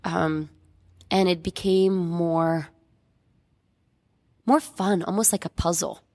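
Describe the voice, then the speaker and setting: Low, gravelly-voiced woman from Bosnia. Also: fairly even intonation, slow, darkish tone, dry recording, clean studio sound. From Bosnia and Herzegovina. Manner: reflective, searching for words with many fillers. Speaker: painter, interview guest. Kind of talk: casual interview conversation.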